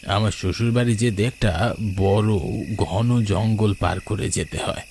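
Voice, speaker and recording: A man's voice: fairly low pitch, unhurried, creaky, a little mellow. A man voicing an older villager who recounts a ghost encounter from his youth in a suspenseful storytelling manner for a cartoon. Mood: uneasy, suspenseful. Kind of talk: dramatic ghost story narration. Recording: noisy night ambience with chirping crickets.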